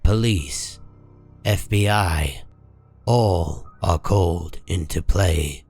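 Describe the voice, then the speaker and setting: A man narrating a comic book drama from a script in a grave, deliberate manner. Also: low voice, gravelly, a little mellow, husky, faint music behind.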